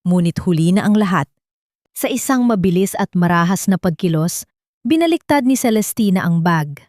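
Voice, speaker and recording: A woman's voice: fairly low pitch, expressive, normal speed, a little mellow, no echo, studio quality. A woman with a Filipino accent reading a scripted story as a dramatic audiobook narrator.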